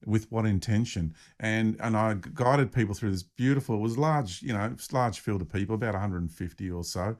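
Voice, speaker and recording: A man's voice: lowish voice, brisk, few pauses, a little mellow. A man hosting a spiritual podcast, talking in an enthusiastic, affirming way and often repeating words as he goes. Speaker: podcast host.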